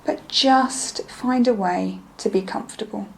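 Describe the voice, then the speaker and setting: A woman leading a guided meditation in a gentle, soothing, unhurried manner, with phrases that trail off softly. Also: on the high side, a little hiss or hum, poor audio. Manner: soothing, gentle, unhurried instruction with soft breathy phrase endings.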